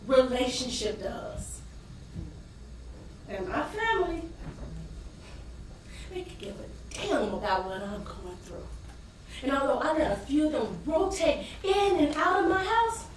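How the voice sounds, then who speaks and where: Woman acting a high-voiced, weary struggling wife, echoing stage. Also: very animated, recorded from a distance. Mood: bitter, resentful. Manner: emotive, dramatic, with long pauses and sudden swells. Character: weary, embittered working-class wife and struggling mother. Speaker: stage actor. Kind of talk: theatrical stage monologue. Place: indoor stage performance space. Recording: roomy echoing theater from afar, faint noise.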